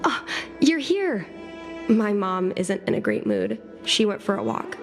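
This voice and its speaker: A woman's voice: medium pitch, huge pitch swings, unhurried. An actress playing a casual, candid young girl in a video game. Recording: background music under dialogue.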